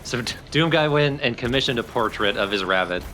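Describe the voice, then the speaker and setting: A man's voice: mid-range voice, lively intonation, a little fast, bright, forceful tone, slight background noise. A man giving casual, wry, conversational co-commentary on a video game. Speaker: let's play co-commentator.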